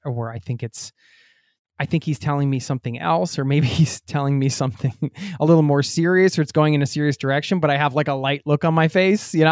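A man's voice: medium pitch, no echo, studio quality. A man from the United States hosting a podcast, chatty and rambling in casual conversation, laughing often. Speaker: podcast host. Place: home in Norway.

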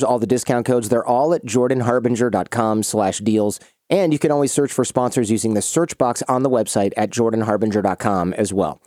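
A man's voice: mid-range voice, no room sound, studio quality. Casual male podcast host. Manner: casual, animated, loose asides. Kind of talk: conversational interview and ad reads.

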